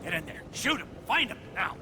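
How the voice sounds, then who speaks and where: Shrill, barking gunman. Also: very animated, brisk, noisy background. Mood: aggressive. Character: aggressive male pursuing gunman. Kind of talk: dramatic western game acting.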